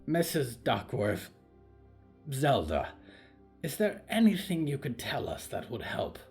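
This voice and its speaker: A man's voice: medium pitch, wildly swooping pitch, slow pace, darkish tone, soft background music. A man voicing a diplomatic woman scout leader in an audio drama, soothing, polite and earnest. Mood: placating. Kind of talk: audio fiction story acting.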